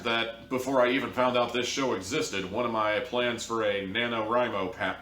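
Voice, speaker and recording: Echoey, exasperated low-voiced man reviewing. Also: bright, forceful tone. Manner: exasperated, theatrically dramatic delivery with drawn-out words. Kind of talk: informal critical review, ranting. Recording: echoey room, distant mic, faint noise.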